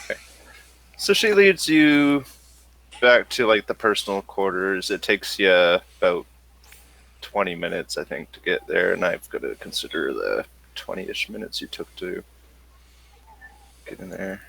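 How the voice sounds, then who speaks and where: A man narrating a tabletop role-playing game as game master, improvising in a halting, conversational way. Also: low voice, very animated, gravelly, a bit bright, rough recording.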